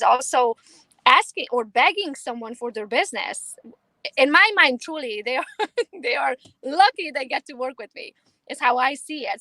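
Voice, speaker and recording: A woman in her thirties from Romania with a Romanian accent, chatting confidently and quickly as a guest on an interview. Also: high-pitched, sharp tone, no echo, low-quality recording. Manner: confident, fast, emphatic, laughs easily. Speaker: realtor guest. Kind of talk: casual interview chat.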